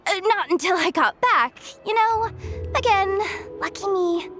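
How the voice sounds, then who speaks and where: A woman playing an eager, excitable young working girl in a video game, gushing and breezy with a slight stammer. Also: very high voice, unhurried, over music. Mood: flustered.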